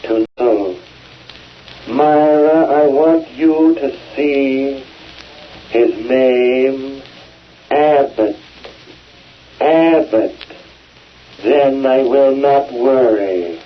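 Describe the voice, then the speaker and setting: Very slow, halting séance spirit. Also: male voice, medium pitch. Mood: solemn, halting. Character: spirit of a dead husband speaking at a séance. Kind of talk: old-time radio acting. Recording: dull old radio recording.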